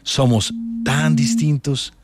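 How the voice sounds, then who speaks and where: A man hosting a radio show, joking and animated in casual chat. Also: mid-range voice, takes it slowly, noisy background. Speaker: radio host.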